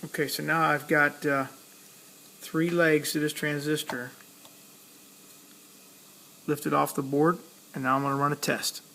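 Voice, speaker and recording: Flat-toned, higher-pitched man with creaky voice. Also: a little slow, pauses often. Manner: plainspoken, step-by-step, talking while working. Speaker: electronics hobbyist demonstrating a repair. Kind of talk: casual hands-on tutorial narration.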